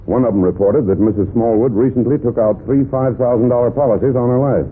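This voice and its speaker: An actor playing a keen, plain-spoken police officer, the captain's observant sidekick in an old-time radio crime drama. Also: male voice, mid-range voice, quick pace, close to an everyday voice. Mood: eager. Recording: tinny, noisy vintage radio recording.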